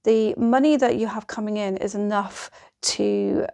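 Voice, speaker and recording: A woman's voice: mid-range voice, wildly swooping pitch, unhurried, a little mellow. A woman presenting informal instructional advice, speaking directly to the listener in an encouraging, reassuring manner.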